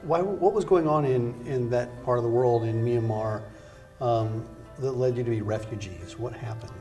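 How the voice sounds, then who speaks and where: A man's voice: mid-range voice, normal speed, dark tone, dull audio, faint music behind. A man hosting a cooking show, moving from scripted narration into a chatty, upbeat interview with guests. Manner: chatty, upbeat, rambling restarts.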